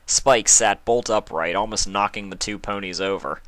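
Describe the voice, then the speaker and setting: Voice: deep voice, normal speed, a bit bright, no echo. A narrator reading a story aloud. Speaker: fan fiction narrator.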